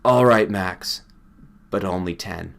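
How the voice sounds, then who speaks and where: A man's voice: deep voice, slow pace, vocal fry, fairly bright tone, heavily acted. A man voicing a brusque, impatient male research partner in a story, snappy and insistent.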